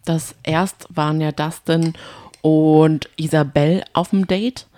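Female co-host, low-pitched voice, casual conversational recap of reality TV. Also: steady tone, slow pace, mellow. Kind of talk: casual banter and episode recap.

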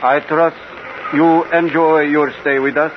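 A man acting a formal, officious foreign passport official with measured delivery in an old-time radio drama. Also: on the high side, little pitch movement, close to an everyday voice. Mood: stiff, formal. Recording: very noisy, dull old radio recording.

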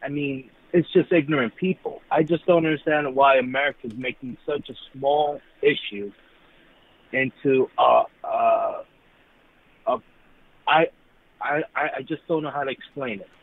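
A man's voice: medium pitch, unhurried. A man from New York calling in to a talk show, speaking hesitantly with many restarts and fillers. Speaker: caller. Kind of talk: call-in radio talk. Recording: tinny phone line.

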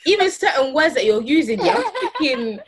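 A woman's voice: high-pitched, very animated, fairly quick. A woman co-hosting a casual podcast, joking around and using British slang.

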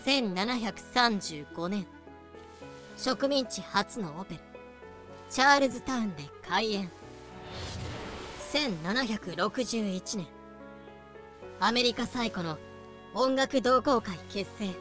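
A woman's voice: medium pitch, lively intonation, takes it slowly, pauses often. A woman acting a haughty wise wolf woman who sells slaves, using proud, old-fashioned courtly speech in a fan-made voice drama. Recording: music behind the voice.

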